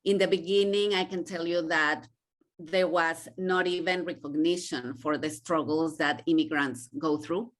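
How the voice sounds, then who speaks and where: A woman's voice: sits low, steady tone, unhurried. A middle-aged woman from Mexico with a heavy accent that mixes Mexican Spanish and Israeli sounds, speaking earnestly and reflectively as a podcast guest. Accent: Mexican Spanish mixed with Israeli. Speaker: podcast guest, intercultural coach. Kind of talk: conversational interview.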